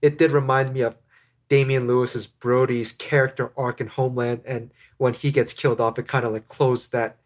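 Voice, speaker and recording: A man in his thirties with a mid-range voice talks easily on a podcast. He hedges often and fills his pauses. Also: mid-range voice. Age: 30s. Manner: relaxed, hedging with fillers. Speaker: podcast guest. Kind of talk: casual podcast discussion.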